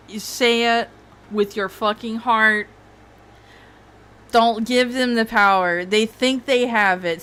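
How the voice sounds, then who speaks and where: A woman's voice: medium pitch, fairly bright tone, smooth. Rambling, foul-mouthed woman streamer. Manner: rambling, profane, frequent laughs. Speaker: streamer host. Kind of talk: casual livestream chat.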